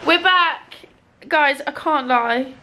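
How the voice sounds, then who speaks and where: A woman's voice: sits high, slow, bright, forceful tone, soft background music. Giggly young woman vlogging on a phone. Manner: bubbly, giggly, chatty. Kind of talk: casual vlog chat. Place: ski resort apartment and slopes. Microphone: phone at arm's length.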